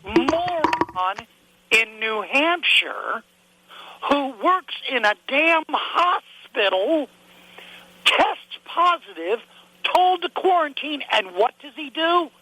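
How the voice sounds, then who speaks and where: High-voiced stuffy-nosed man caller. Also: big pitch swings, slow pace, bright, forceful tone, dry recording, rough recording. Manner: indignant, rambling, repeats himself. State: stuffy nose, coughing. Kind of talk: call-in chat.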